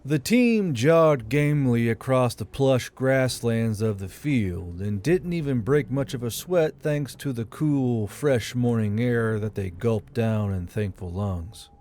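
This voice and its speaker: A man's voice: mid-range voice, animated, slow, hardly any pauses, darkish tone. A man reading military fantasy fiction as a scripted audiobook narration. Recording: music under the voice.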